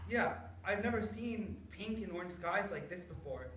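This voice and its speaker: A woman playing an eager, chatty young grandson in a staged play reading, with an excitable, childlike manner. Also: fairly low pitch, moderate pace, natural voice. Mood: curious. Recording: echoey room, background noise.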